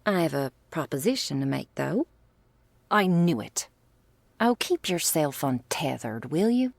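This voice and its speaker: A woman's voice: sits low, big pitch swings, unhurried, no room sound, exaggerated voice. A woman playing a teasing, doting female vampire lover in an intimate ASMR roleplay, with whispery asides and quaint, affectionate wording. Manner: breathy asides, pet names, quaint turns of phrase.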